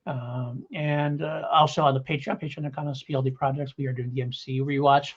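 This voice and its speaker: A middle-aged man chats as the host of a review podcast. His voice is husky and mid-pitched, and he rambles casually, correcting himself along the way. The audio is a little dull and lo-fi. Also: flat delivery, fairly quick.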